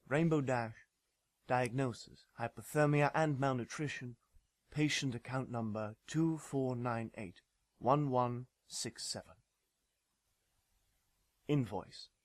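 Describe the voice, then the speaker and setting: A man's voice: mid-range voice, slow, no room sound, lightly acted. A man playing a businesslike hospital clerk, reading out items one by one in a fan audio drama. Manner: clerical, itemising.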